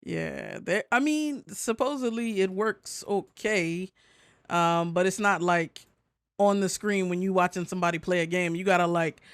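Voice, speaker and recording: A man's voice: sits high, a little slow, fairly bright tone, smooth, no echo, clean studio sound. A man co-hosting a casual gaming news chat, hesitant in his phrasing and freely swearing. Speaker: podcast co-host.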